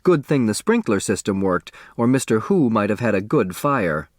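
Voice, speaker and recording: A man reading a children's novel as an audiobook narrator, in an expressive scripted storytelling style. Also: lowish voice, moderate pace, dry recording, clean studio sound.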